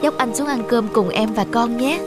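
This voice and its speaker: A woman playing a gentle, caring young wife in a cartoon. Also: a little high, normal speed, over music, close to an everyday voice. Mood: tender, caring. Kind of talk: cartoon voice acting.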